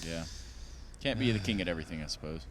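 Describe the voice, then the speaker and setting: A man casting a competitive shooter match, joking around with his co-hosts and getting excited on big plays. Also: low-pitched, fast, a little hiss or hum. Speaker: esports caster. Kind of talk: casual banter and play-by-play commentary.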